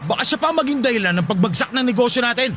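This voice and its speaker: A man's voice: very high voice, animated, fast talker, fairly bright tone, slight background noise. A Filipino man playing an irritable, callous shopkeeper husband in a radio drama, scolding and defensive. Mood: indignant, defensive. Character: irritable, callous husband running a hardware shop.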